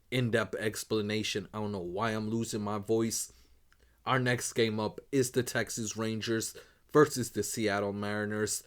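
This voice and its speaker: Man, lowish voice, hoarse, sports betting host, brisk routine delivery. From Northeast United States. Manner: brisk, listing picks in a set routine. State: losing his voice. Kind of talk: rapid betting picks rundown.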